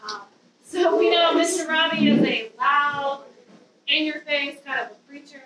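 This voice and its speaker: A woman in her thirties nervously sharing a personal testimony to a youth group, with a loud voice and many ums. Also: high voice, measured pace, crowd noise. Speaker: guest speaker giving a testimony. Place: dim church youth room with an audience. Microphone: handheld mic, far camera. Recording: roomy hall sound from a distance.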